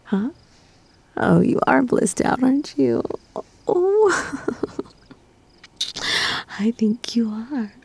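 A woman speaking in a soft, breathy whisper with teasing murmurs, performing an intimate audio roleplay. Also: fairly high pitch, very animated, extremely slow, long pauses, dry recording.

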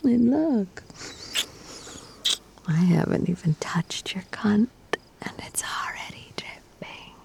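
A woman speaking in a soft, breathy whisper with teasing murmurs, performing an intimate audio roleplay. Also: mid-range voice, expressive, slow pace, long pauses.

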